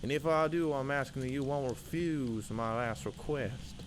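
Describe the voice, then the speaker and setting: Male reader as folksy gold prospector chilled to the bone, plaintive, drawn-out verse, clear background noise. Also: mid-range voice, natural voice. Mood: pleading, miserable. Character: freezing, dread-filled Southern prospector pleading with his pal. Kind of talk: dramatic verse storytelling. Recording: loud background noise and ambience.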